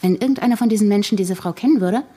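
A woman's voice: mid-range voice, rapid-fire, dark tone, slightly rough, lightly acted. An actress playing a pragmatic, tired young mother in a naturalistic audio drama, matter-of-fact and questioning with her friends. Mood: reasoning, uneasy.

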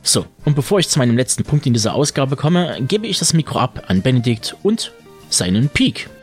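A man's voice: mid-range voice, very animated, a little fast. A man hosting a podcast, reading scripted series news in a wordy, tongue-in-cheek way. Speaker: podcast host. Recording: music bed under the voice. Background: music.

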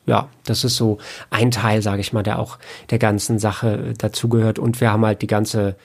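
A man's voice: on the low side, little pitch movement, normal speed, gravelly, breathy edge. A man speaking as a guest in a casual interview, explaining things in a rambling, conversational way with many filler phrases. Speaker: interview guest, audio drama producer and author.

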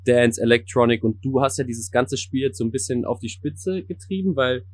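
A man's voice: medium pitch, animated, moderate pace, no echo. A man interviewing a musician, asking questions in a casual, chatty way with loose phrasing.

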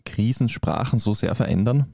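Low, warm-voiced male podcast host. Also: expressive, vocal fry, breathy edge, no echo, clean studio sound. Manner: measured, scripted delivery. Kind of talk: scripted podcast intro and questions.

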